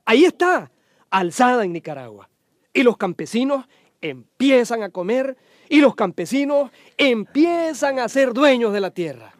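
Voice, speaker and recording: An earnest, folksy man, played by an actor in a radio play, with a rather high voice. He explains in a conversational, teacherly way, as if to one listener. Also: sing-song, unhurried, exaggerated voice. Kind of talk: radio drama dialogue.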